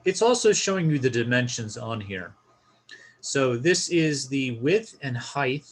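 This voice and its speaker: A man's voice: medium pitch, lively intonation, unhurried. A man presenting an informal step-by-step tutorial, explaining a screen share in a casual instructional style.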